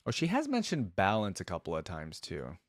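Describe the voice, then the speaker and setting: A man with a fairly low voice hosts a casual reaction video. He rambles informally as he thinks aloud, and the sound is very dry, with no room echo. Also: wildly swooping pitch, a little fast.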